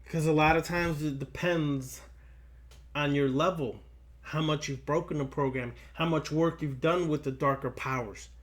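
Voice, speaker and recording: A man's voice: on the high side, steady tone, normal speed. A man giving a spiritual lecture straight to his listeners, emphatic and repeating phrases for weight. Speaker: occult lecturer.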